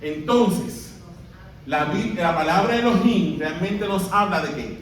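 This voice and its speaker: Puerto Rican pastor in a booming hall. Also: male voice, slow pace, slight background noise. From Puerto Rico. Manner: asks the congregation questions and has them read aloud. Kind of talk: sermon. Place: large church hall. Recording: very echoey hall, voice from a distance.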